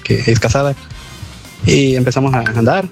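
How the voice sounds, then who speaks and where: A man with a Mexican accent tells a casual personal story as a taxi driver calling in. His pitch is average. Music is clearly audible underneath. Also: normal speed. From Coatzacoalcos, Veracruz, Mexico.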